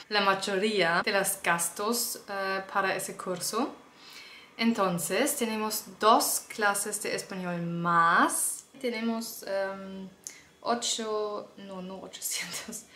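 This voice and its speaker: A young woman, a native German speaker, chatting casually to camera at home as a vlogger, speaking haltingly with restarts as she learns the language. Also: mid-range voice, fairly bright tone, reverberant, slight background noise. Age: young adult. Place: small indoor room at home.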